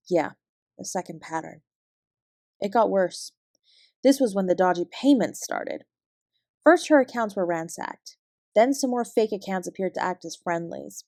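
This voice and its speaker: A woman with a Canadian accent playing a guarded, weary fraud investigator, giving a clipped, measured account in an audio drama. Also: medium pitch, a little mellow, no room sound, clean studio sound, natural voice. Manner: measured, clipped report-like delivery. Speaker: investigator being interviewed.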